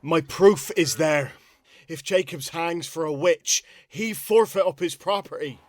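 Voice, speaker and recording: A man playing an outraged, earnest farmer making a deliberate accusation in a period courtroom drama. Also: on the high side, no echo, lightly acted. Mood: indignant, accusing.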